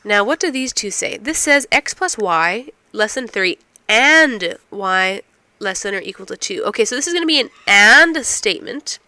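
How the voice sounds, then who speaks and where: A woman's voice: mid-range voice, pushed and bright, no echo. A woman instructor explaining math step by step, asking and answering her own questions, while getting over a cold. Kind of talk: recorded math lecture.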